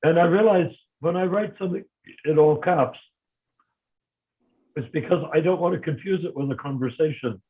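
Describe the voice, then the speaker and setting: A man's voice: a little high, normal speed, pauses often. An older man in a casual discussion, speaking emphatically and often repeating words as he starts sentences, heard over a video call. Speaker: discussion guest. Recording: tinny phone-like line.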